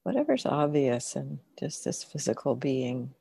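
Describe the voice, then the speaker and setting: Female meditation teacher, deep and soft-toned, gentle, unhurried phrasing with generous pauses. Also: very animated, gravelly, husky, no echo. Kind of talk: guided meditation.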